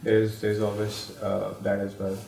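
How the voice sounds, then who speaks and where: Distant man with a flat, even voice. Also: fairly low pitch, measured pace, gravelly. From Pakistan. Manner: hesitant, many fillers and restarts. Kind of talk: reflective personal storytelling. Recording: echoey room, distant mic.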